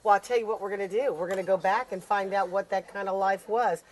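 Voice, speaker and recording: A woman's voice: medium pitch, fairly even intonation, fast. Probing woman talk-show host. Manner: probing, finishes the guest's sentences.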